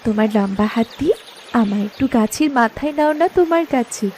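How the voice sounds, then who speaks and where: Young cartoon village wife acted by a woman: high, animated, earnest and pleading, slight noise. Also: a little fast, lightly acted. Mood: coaxing. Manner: pleading, coaxing. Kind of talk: Bengali cartoon story voice acting.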